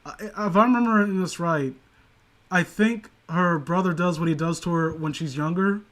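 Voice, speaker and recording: A man's voice: on the high side, normal speed, fairly bright tone. A man co-hosting a casual comedic commentary, rambling sarcastically with crude humour. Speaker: co-host of a comedic commentary show.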